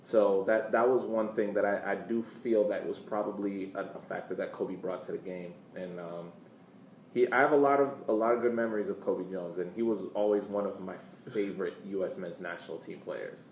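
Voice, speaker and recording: Low-voiced American man, echoey podcast recording. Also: steady tone, darkish tone. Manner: halting, repeats words while searching for phrasing. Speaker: podcast co-host. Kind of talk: casual sports podcast chat. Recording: echoey room, distant mic, faint background noise.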